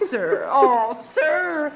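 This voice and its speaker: Woman acting a high, lamenting, fretful nurse. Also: big pitch swings, very slow, full character voice. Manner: wailing, repetitive, theatrical. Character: fretful, lamenting female nurse, loyal servant. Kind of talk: Shakespearean radio drama acting. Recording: clear background noise with ambience.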